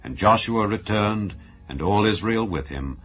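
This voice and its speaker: A man giving a solemn, measured, declamatory dramatized narration of scripture as an audio Bible reader. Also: fairly low pitch, expressive, husky. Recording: dull lo-fi recording. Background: background music.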